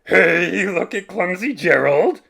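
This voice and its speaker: A woman voicing loud, excitable jungle animals in a children's storybook. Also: on the low side, slow pace, creaky, slightly rough, pitched above the performer's own voice, full character voice. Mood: jeering.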